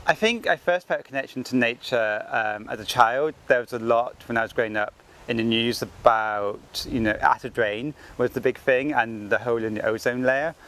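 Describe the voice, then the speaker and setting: Thoughtful Englishman, mid-pitched. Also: normal speed, a bit bright. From England. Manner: thoughtful, with many ums and likes. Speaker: interviewee. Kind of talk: reflective personal account.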